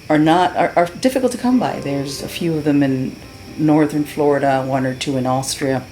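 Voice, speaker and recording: A woman's voice: extremely low pitch, a little mellow, low-quality recording. A middle-aged woman from Cuba giving an earnest, heartfelt testimonial as a patient, with many fillers and restarts. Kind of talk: unscripted testimonial.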